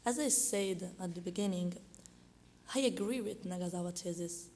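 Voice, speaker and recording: A hoarse woman with an Italian accent responds to an academic paper in English, her second language, in a low, mellow voice. She moves slowly and haltingly, hunting for words with plenty of fillers and restarts. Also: slight background noise. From Italy. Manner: hesitant, many fillers and restarts. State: hoarse from a cold. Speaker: conference respondent.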